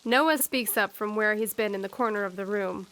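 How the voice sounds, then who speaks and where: A woman's voice: medium pitch, normal speed, fairly bright tone, close to an everyday voice. A woman narrating an audio drama, scripted storytelling broken by informal asides.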